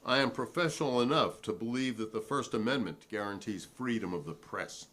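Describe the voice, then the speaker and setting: A man's voice: mid-range voice, fairly quick, a bit bright, lightly acted. A man playing a defiant, principled newspaperman who testifies formally and argumentatively at a 1958 hearing in a dramatic reading. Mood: defiant, measured.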